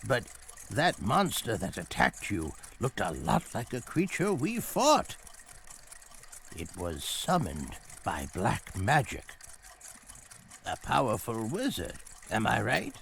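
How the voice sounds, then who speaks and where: Wildly swooping, courteous older gentleman. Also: fairly low pitch, wildly swooping pitch, gravelly, a little hiss or hum, exaggerated voice. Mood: reassuring, probing. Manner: courtly, deliberate. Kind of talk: video game voice acting.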